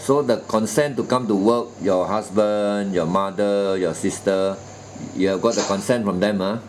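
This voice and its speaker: A man's voice: lowish voice, moderate pace, a little hiss or hum, low-quality recording. A man conducting a job interview, probing with questions and repeating the answers back, often trailing off with "ah" and "lah". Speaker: recruitment interviewer.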